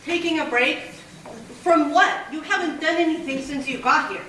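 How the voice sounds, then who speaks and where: A woman acting as a brisk, bossy station manager who scolds and hands out orders, her voice high and bright. It rings out from a distance across a very echoey hall, as in a live radio play on stage. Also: slight background noise. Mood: scolding.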